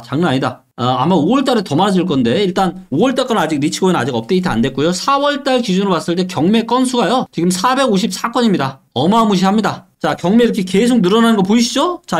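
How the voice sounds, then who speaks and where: A man explaining real estate data charts in an online lecture, asking rhetorical questions and answering them himself. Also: high-pitched. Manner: leads into points with a repeated "so". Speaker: real estate data analyst and channel host.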